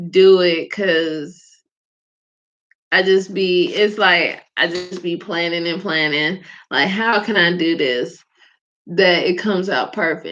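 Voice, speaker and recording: Soft-spoken woman with a bright lo-fi voice. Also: lowish voice. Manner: soft-spoken, chatty, laughs often. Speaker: host of a live faith vlog. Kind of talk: casual spoken reflection. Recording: dull lo-fi sound.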